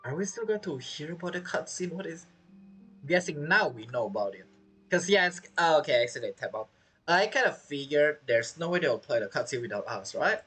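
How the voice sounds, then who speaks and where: A man's voice: a little high, animated, a little fast, fairly bright tone, breathy edge, soft background music. A man giving casual, joking, reactive commentary as he streams a game. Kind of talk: casual commentary over gameplay.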